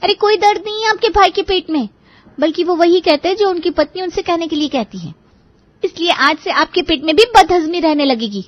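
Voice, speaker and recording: A woman's voice: very high-pitched, animated, fairly quick, no echo, muffled recording. A woman voicing a cunning, domineering young wife in a family cartoon, hectoring and mocking. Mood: bossy, threatening. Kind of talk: Hindi family cartoon voice acting.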